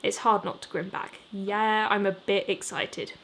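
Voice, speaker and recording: A woman reading her own novel aloud in an expressive audiobook narration style, as its author. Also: medium pitch, measured pace. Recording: steady background noise. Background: rain ambience.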